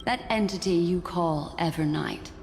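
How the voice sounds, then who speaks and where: An actress playing a composed, enigmatic female investigator in a video game, speaking in a measured, solemn and formal way. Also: fairly low pitch, vocal fry, a little mellow, slight background noise. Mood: stern. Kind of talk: dramatic video game acting. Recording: reverberant hall, music behind.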